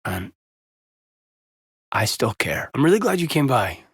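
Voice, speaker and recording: A man playing an earnest, loyal soldier officer in a space-war video game, with a husky, raspy voice and a sincere, measured manner. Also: mid-range voice, big pitch swings, a bit bright, no room sound, studio quality, heavily acted. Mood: tender, subdued. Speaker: video game squadmate character. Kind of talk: dramatic video game voice acting.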